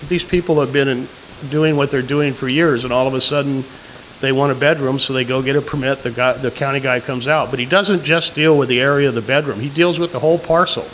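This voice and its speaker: A man's voice: medium pitch, fairly even intonation, fairly quick, fairly bright tone. An older man stands at an audience mic in a community hall and presses a candidate with his question. He is insistent and keeps returning to his point. Faint hiss runs under the recording. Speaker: audience member asking a question. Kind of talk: questioning at a public forum.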